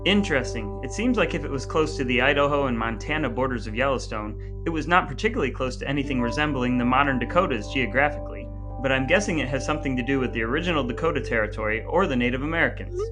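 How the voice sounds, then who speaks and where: A man's voice: medium pitch, expressive, fast talker, hardly any pauses, music in the background. A man hosting a podcast in a scripted yet conversational style, with playfully grandiose, wordy phrasing. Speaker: podcast host.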